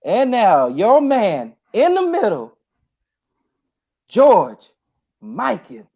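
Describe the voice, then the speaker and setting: A man as a podcast guest in a casual sports chat, playful and thinking out loud as he weighs his choices. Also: high-pitched, wildly swooping pitch, extremely slow, breathy edge.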